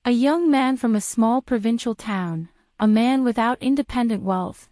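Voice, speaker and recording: A woman reads a prepared passage aloud for exam practice. Her voice is a bit higher than average, and the recording is very dry. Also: moderate pace, dark tone, no room sound. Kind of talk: read-aloud of a formal passage.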